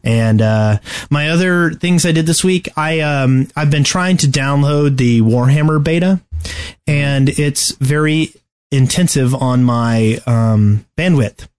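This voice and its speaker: A man talks casually as a co-host on a gaming podcast. His delivery is halting, and he often stammers as he gets his sentences out. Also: on the high side, darkish tone.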